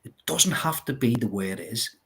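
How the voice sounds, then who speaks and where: A man's voice: mid-range voice, lively intonation, moderate pace, husky. A man with a Belfast accent, a life coach guest explaining his ideas earnestly, with many fillers. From Northern Ireland. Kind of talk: interview chat.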